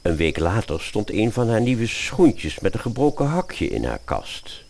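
A man's voice: fairly low pitch, huge pitch swings, a little slow, creaky, darkish tone. A man narrating a scripted fairy-tale radio play as its storyteller.

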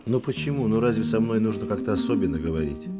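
An actor playing a reserved, kind police detective in a Russian radio play, speaking in a measured, slightly halting way. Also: male voice, on the low side, lively intonation, over loud music, close to an everyday voice. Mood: earnest, restrained.

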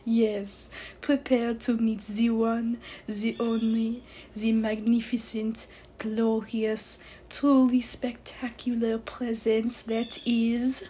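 Woman as small male fantasy creature, exaggerated French accent, boastful, excitable, pompous, storybook style, faint background noise. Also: fairly high pitch, takes it slowly, low-quality recording. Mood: grandiose, boastful. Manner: shrieking, bouncing, pompous declamation.

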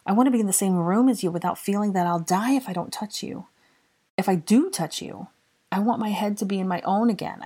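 A woman voicing a bright, bossy young woman in love, emotional, indignant and exasperated, in dramatic audiobook dialogue. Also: on the low side, breathy edge, pitched above the performer's own voice.

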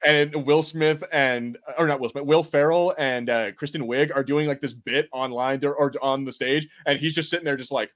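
A man's voice: medium pitch, steady tone, normal speed, few pauses, fairly bright tone. A man co-hosting a casual watch-party film chat, rambling informally and swearing freely. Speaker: podcast co-host.

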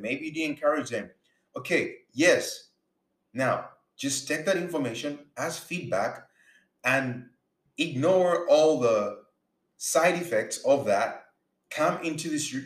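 A man from Uganda giving an earnest motivational talk about faith and business, repeating words for emphasis. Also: medium pitch. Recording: roomy echo.

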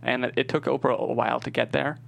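A man's voice: mid-range voice, flat delivery, quick pace, gravelly. A man answering interview questions as a screenwriter, explaining things in a casual, conversational way. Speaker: screenwriter guest.

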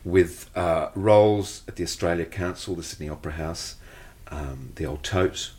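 A man conducting an interview, asking measured, formal questions. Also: deep voice, darkish tone.

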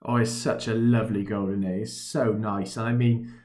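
A man's voice: on the low side, flat delivery, moderate pace, darkish tone. A Welsh man in his twenties co-hosting a football podcast, chatting casually and gushing, often repeating words for emphasis. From Wales. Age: 20s. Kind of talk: casual sports chat.

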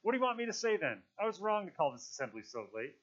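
An earnest boy leader from a storybook as a male narrator plays him, pitched high with swooping intonation. He is halting and unsure of himself, yet insists on the rules. The recording is slightly dull. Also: very animated, fairly bright tone, heavily acted. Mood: flustered, weary. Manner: halting, self-questioning, insistent about rules. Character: earnest, wavering boy leader trying to keep order.

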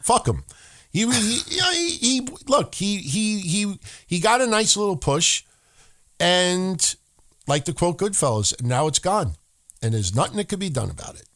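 A fairly high-voiced man co-hosting a podcast, swearing freely as he chats. His talk is animated and loose, and now and then he slides into joking broken-English voices. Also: unhurried. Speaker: wrestling podcast co-host. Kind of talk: casual profane chat.

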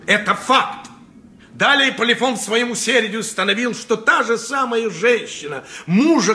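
An actor playing a solemn advocate addressing ancient judges, formal and oratorical in a theatrical radio play manner. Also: male voice, high voice, sharp tone, full character voice.

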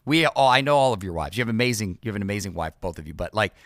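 A man's voice: fairly low pitch, expressive, very fast, fairly bright tone, no room sound, clean studio sound. A male podcast host and pastor in casual conversation, encouraging listeners in a sermon-like cadence.

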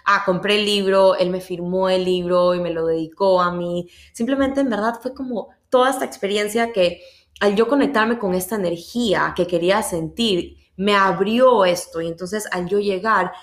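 A woman's voice: medium pitch, normal speed. A woman with an Ecuadorian accent hosting a podcast, telling personal stories casually and emphatically, repeating words for stress. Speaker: podcast host. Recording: echoey, roomy sound.

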